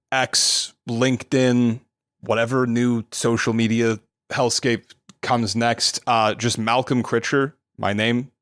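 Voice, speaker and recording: A man's voice: medium pitch, clean tone, no room sound, clean studio sound. A man who is a guest player on a tabletop game podcast, chatting casually about the session and restarting sentences as he looks for words. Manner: relaxed, searching for words with restarts. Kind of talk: casual post-game chat.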